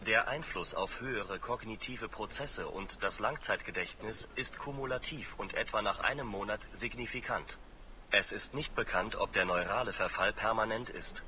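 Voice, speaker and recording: An actor playing an emotionless holographic ship computer, reporting data in a flat, formal, precise way. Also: male voice, fairly low pitch, normal speed, a little hiss or hum. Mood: detached, factual.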